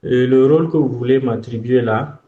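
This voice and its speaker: A man's voice: medium pitch, moderate pace. Echoey, muffled West African man on the phone. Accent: West African French. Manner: hesitant, repeats words and short acknowledgements. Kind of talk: private phone conversation. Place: indoors, in a bedroom on a phone call. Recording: echoey, dull phone call recording.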